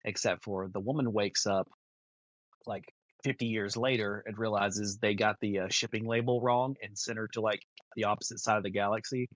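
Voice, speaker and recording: A man's voice: on the low side, steady tone, normal speed, no echo, poor audio. A man co-hosting a podcast, casually telling side anecdotes in a conversational way. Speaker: podcast co-host.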